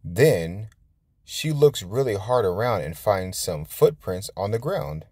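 A man with a low voice reads a picture book aloud for children. His pace is unhurried and his intonation moves around a lot. The recording is close and dry. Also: sing-song, darkish tone, slightly rough, dry recording. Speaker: storytime channel host and reader. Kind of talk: children's storybook read-aloud.

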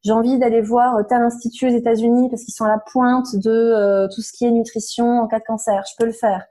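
Woman in her 30s, interview guest, a bit hoarse, mellow warm tone, higher pitch, frank chatty delivery with fillers. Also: a little fast. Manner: frank, animated, many fillers. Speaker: interview guest, nutrition therapist. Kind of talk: casual conversational interview.

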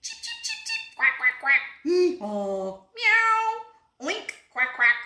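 Excitable storybook farm animals, acted by a woman, high swooping sing-song calls, exaggerated, roomy echo. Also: slow pace, exaggerated voice. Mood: noisy, excited.

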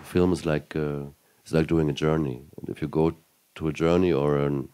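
A middle-aged man with a very deep voice speaks in an even, flat tone. He pauses and restarts as he thinks aloud. Clear outdoor noise runs behind him. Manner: thoughtful, slightly halting. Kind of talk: interview. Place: rooftop terrace, outdoors.

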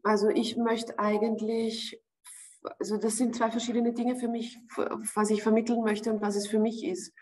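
A woman's voice: a little high, little pitch movement, mellow. Austrian woman talking thoughtfully on a call. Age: 40s. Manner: thoughtful, searching for words with many pauses. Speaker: interview guest, actress. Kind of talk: casual video-call interview. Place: home room indoors. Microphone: video call.

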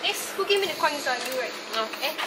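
A woman's voice: high voice, expressive, fast. A young woman, bantering casually with friends outdoors at a station. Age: young adult. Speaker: travel companion. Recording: clear outdoor noise, camera audio.

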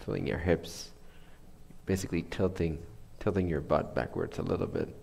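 Deep voiced guy, teaching a guided meditation, takes his time, pauses to find words. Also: fairly even intonation, creaky, darkish tone, a little hiss or hum. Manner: unhurried, searching for words.

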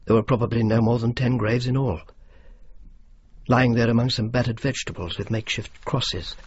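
An actor playing a dignified, earnest and courteous middle-aged major general in a radio drama, speaking in formal manner. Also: male voice, mid-range voice, fairly even intonation, moderate pace, breathy edge, natural voice. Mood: reflective. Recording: dull, faintly noisy radio recording.

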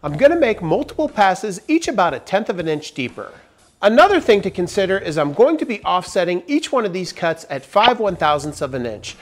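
Upbeat man with a lively voice. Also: high-pitched, fairly quick. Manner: upbeat, emphatic sentence openers. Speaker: woodworking channel host. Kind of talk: scripted tutorial narration. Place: large workshop with wooden walls. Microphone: lapel mic.

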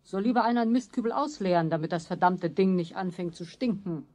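Brusque, quick-talking grandmother played by a woman. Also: fairly low pitch, mellow, lightly acted. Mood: irritated. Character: gruff, no-nonsense grandmother. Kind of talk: German radio play for children, 1970s.

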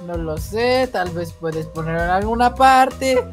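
Un joven con acento mexicano y voz muy alta de tono interpreta un roleplay cómico de Minecraft, con un tono bromista y quejumbroso de broma. De fondo suena música clara todo el tiempo. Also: male voice, sits high, animated, measured pace. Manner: goofy, mock-whiny, jokey. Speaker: gaming roleplay creator. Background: background music.

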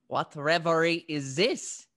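A young man playing a gushing, flattering dandy in a period stage comedy, with affected, flowery speech. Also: on the high side, slow. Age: young adult. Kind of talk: theatrical period comedy reading. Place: indoor room, video call.

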